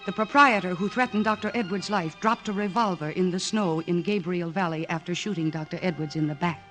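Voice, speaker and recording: An actress playing a composed, determined young woman psychiatrist in an old-time radio drama, speaking in a measured, articulate, earnest way. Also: lowish voice, fairly bright tone, close to an everyday voice, low-quality recording. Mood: urgent, accusing. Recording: restored vintage radio, orchestral music behind.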